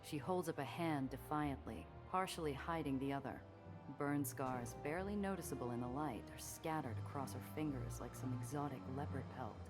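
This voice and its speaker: Streetwise woman smuggler: low, wry, guarded noir game narration over clear background music. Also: moderate pace. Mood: suspicious, confident. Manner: dry, world-weary, hardboiled. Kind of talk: noir first-person narration and game dialogue. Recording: music behind the voice.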